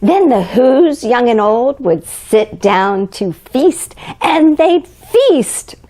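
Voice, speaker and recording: A woman's voice: on the high side, very animated, very slow, warm tone. A woman reading a children's storybook aloud in a theatrical way, drawing out key words for emphasis. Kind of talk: dramatic storybook read-aloud.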